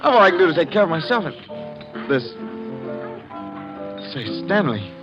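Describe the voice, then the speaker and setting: A muffled old radio recording with music behind carries a brisk army lieutenant. His medium-pitched delivery swings up and down in classic 1940s radio drama style. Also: sing-song. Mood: teasing, brisk. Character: army lieutenant, brisk man drinking with friends, growing alarmed.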